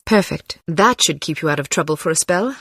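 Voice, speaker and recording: A cool female secret agent from a spy game, speaks in a fairly low voice with dry composure. The sound is close and dry, and her wit stays understated. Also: expressive, brisk, slightly rough, no room sound, full character voice. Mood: cool, businesslike. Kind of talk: scripted spy game dialogue.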